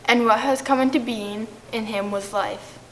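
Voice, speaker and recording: A woman reads poetic liturgy from a script in church. Her pitch is slightly raised, and there is faint noise behind her. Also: steady tone, moderate pace, poor audio. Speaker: reader at a church service. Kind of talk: scripted poetic reading, shared among readers.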